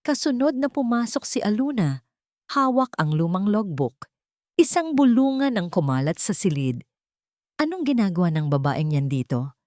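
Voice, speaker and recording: A woman's voice: medium pitch, dry recording, studio quality. A woman reading a dramatic scripted story as an audio drama narrator.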